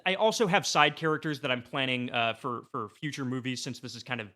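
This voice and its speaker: A man talking casually as a podcast guest, making a comedic pitch in an excitable, mock-earnest, halting way.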